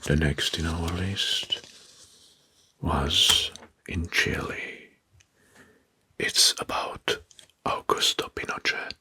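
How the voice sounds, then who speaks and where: Whispering man doing slow ASMR narration. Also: sits low, fairly even intonation, no echo. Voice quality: breathy, hushed whisper. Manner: slow, deliberate, often repeating names and phrases softly. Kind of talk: whispered, soft-spoken ASMR history narration.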